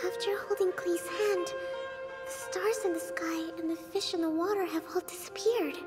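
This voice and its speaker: A woman playing a gentle, composed young female general in a video game, polite and measured. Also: extremely high pitch, steady tone. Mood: composed, wistful. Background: game music.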